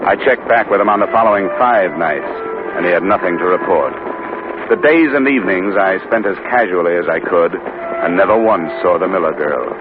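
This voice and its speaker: An actor playing a wry, dogged American investigator who narrates his case in a dry, clipped, deadpan 1950s radio drama style. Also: deep voice, fairly quick, lightly acted. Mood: wry, matter-of-fact. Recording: tinny old 1950 radio recording with music.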